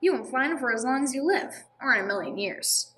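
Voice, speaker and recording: A high-voiced, opinionated shopkeeper from a radio play, acted by a woman, talks bluntly and emphatically, brushing questions aside. There is light noise behind the voice. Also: lively intonation, fairly quick, sharp tone. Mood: dismissive, certain. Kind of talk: 1950s radio drama acting, amateur church production.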